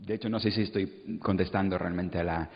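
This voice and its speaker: A man with a deep voice improvises an answer on a panel, hesitating as he looks for words. It is recorded in a big reverberant hall with a slightly muffled sound. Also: fairly even intonation, fairly quick, vocal fry. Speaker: radio presenter on conference panel. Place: conference hall, amplified event.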